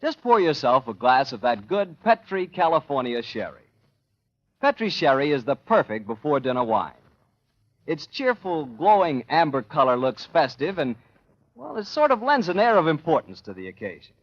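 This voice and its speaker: A man working as a 1940s radio announcer, delivering a folksy, emphatic sponsor pitch and scripted chat as host. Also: very animated, moderate pace, no echo. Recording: muffled vintage radio recording.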